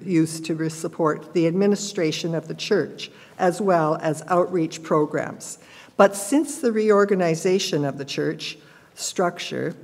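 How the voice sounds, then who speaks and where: A woman, reading a prepared report plainly and carefully at a church lectern. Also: fairly low pitch. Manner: plain, careful, matter-of-fact. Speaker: church member giving a report. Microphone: gooseneck lectern microphone. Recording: reverberant church hall.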